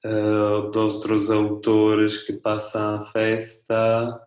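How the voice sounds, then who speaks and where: Italian man, second-language speaker, low flat voice, roomy sound, hesitant. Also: very slow. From Italy. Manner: relaxed, hesitant, searching for words. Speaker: festival director. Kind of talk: interview guest.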